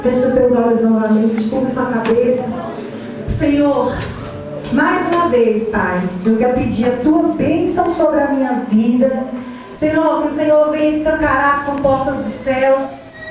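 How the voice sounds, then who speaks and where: A woman's voice: high-pitched, a little slow, a little mellow. A woman pastor from Ceará with a Cearense accent preaching a fervent sermon, leading call-and-response and drifting into sung phrases. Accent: Cearense Portuguese. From Ceará, Brazil. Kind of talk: Pentecostal sermon. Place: large church hall. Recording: echoey church hall from far off. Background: music and congregation.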